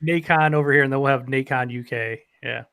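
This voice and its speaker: A man's voice: mid-range voice, steady tone, fast, creaky, fairly bright tone, clear, resonant tone, no room sound. A man chatting casually with friends as a streamer in an online group hangout. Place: online group video call.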